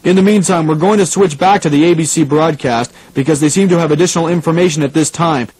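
A man's voice: on the high side, fast talker, darkish tone, close to an everyday voice, rough recording. A man acting as an apologetic local radio newscaster during a crisis, in a radio drama news bulletin. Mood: concerned, composed. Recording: radio broadcast with faint hum.

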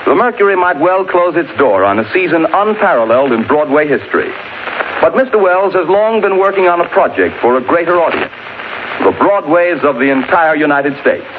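A man's voice: a little high, sing-song, brisk. A male 1930s radio network announcer reading a script in a formal, grand manner on an old broadcast recording. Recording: noisy, narrow-band 1930s radio broadcast.

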